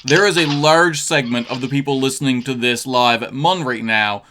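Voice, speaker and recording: Chatty male radio host, bright tone. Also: mid-range voice, normal speed. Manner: rambling, chatty, with many fillers and restarts. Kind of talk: casual chat.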